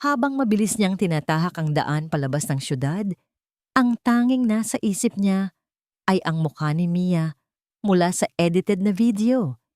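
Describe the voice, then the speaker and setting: A woman's voice: fairly low pitch, expressive, normal speed, darkish tone, no room sound, clean studio sound. A woman narrator reading a scripted dramatic story.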